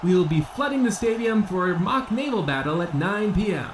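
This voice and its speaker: Man as a high, swooping, pompous ring announcer. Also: animated, normal speed. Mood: excited, grandiose. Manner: pompous, grandiose. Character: pompous male boxing announcer obsessed with ancient Rome. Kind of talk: comedic old-time sports commentary. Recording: faint background noise.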